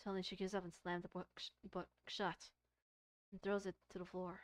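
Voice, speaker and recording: A woman's voice: fairly low pitch, level tone, a little fast, dry recording. A woman streamer reading a visual novel aloud expressively, mixing dramatic narration with casual commentary and stumbling over words.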